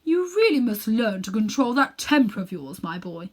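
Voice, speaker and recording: A woman's voice: mid-range voice, very animated, moderate pace. A woman voicing a pompous, cruel old uncle who dabbles in magic, condescending and smug, in a children's storybook reading. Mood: coolly scolding. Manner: haughty, condescending, coolly smug.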